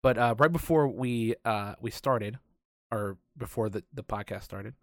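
A man hosts a casual podcast in an informal, wordy way. His voice sits at an average male pitch. The recording is dry, with very little room around it. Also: unhurried, no room sound. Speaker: podcast host.

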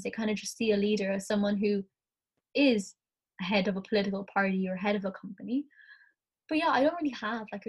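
A young Irish woman, a student, talking through ideas in a casual class discussion, her voice a little croaky from the morning. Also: fairly high pitch. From Ireland. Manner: chatty, thinks aloud, frequent "like".

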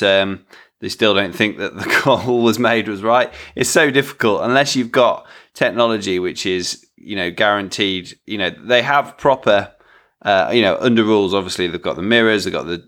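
A man's voice: low-pitched, sharp tone. A man hosting a casual chat, rambling and hesitant with many fillers and restarts. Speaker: podcast host.

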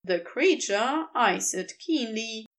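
Woman, audiobook-style narrator, very slow and deliberate, lively rising and falling pitch, fairly high voice, expressive storytelling. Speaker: podfic reader.

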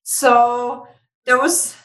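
A woman's voice: on the high side, fairly even intonation, very slow, fairly bright tone. A woman from Austria, a native German speaker, giving casual, explanatory interview answers as a business founder, peppered with "you know". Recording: roomy, echoey sound.

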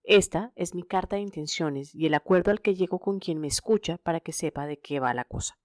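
A woman's voice: lowish voice, brisk, a little mellow, dry recording, clean studio sound. A middle-aged woman with a Colombian accent hosting a podcast alone, reading a scripted personal narration. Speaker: podcast host.

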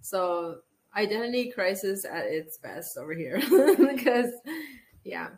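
A woman's voice: mid-range voice, very animated, takes it slowly, darkish tone, slight background noise. A young woman from Los Angeles, a podcast guest chatting casually, laughing often and restarting her sentences. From Los Angeles, USA. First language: Armenian. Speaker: podcast guest, beauty content creator. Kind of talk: casual chat.

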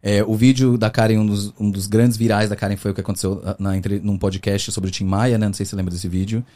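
A man from the interior of São Paulo state, with that region's accent, chatting as a guest on a podcast. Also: on the low side. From Brazil, interior of São Paulo state. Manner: fast, animated storytelling with many fillers. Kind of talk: casual interview chat.

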